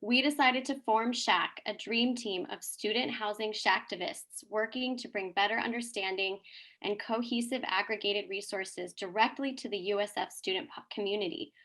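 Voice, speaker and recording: A woman's voice: medium pitch, a bit bright. A woman in her twenties from California with an American accent, giving a scripted student presentation. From California, United States. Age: 20s.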